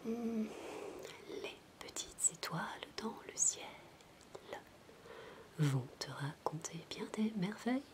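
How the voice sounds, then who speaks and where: A woman in a soft, breathy, mostly whispered ASMR voice, telling a bedtime story as a chatty, hesitant babysitter. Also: mid-range voice, animated, takes it slowly, long pauses. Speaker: ASMR roleplayer playing a babysitter. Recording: noisy homemade recording without binaural mic.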